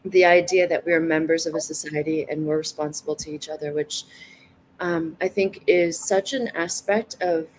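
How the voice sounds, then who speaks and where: A woman in her forties, a guest in a casual online conversation, talking in a thoughtful, soft-spoken way and laughing easily. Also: sits low, fairly even intonation, normal speed, a little hiss or hum, rough recording. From United States.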